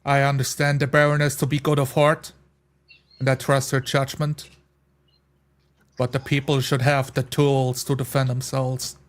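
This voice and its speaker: A man plays a tabletop roleplaying game in a level, unhurried voice. He moves between narrating his actions and measured in-character speech. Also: medium pitch, level tone.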